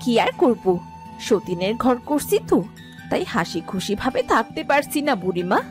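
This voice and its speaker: Woman acting an aggrieved village wife, fairly high. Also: very animated, a little slow. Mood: downcast, aggrieved. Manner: complaining, downcast. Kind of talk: scripted cartoon story acting. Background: music.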